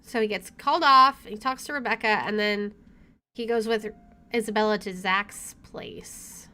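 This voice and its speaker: A woman's voice: mid-range voice, measured pace, pushed and bright. A woman let's player reading a visual novel aloud expressively with chatty asides, game music playing behind her.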